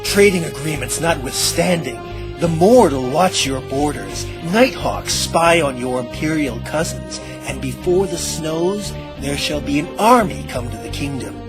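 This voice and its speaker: Elven warrior man actor, fantasy radio drama: proud, grave, archaic diction, lighter-pitched voice, music behind. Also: unhurried, few pauses, lightly acted. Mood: urgent warning. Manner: archaic, declamatory. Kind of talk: fan radio play acting.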